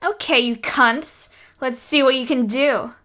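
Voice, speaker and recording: A woman as a high, sharp, cocky little girl vigilante. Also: a little slow. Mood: taunting. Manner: taunting, bossy. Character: foul-mouthed, cocky ten-year-old girl vigilante. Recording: background music under the voice.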